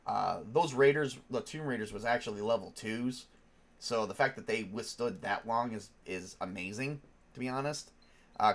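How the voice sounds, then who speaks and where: Stuffy-nosed man rambling casually. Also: mid-range voice. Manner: rambling, lots of ums and restarts. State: stuffed up from a cold. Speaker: tabletop game master and streamer. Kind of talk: casual live-stream chat.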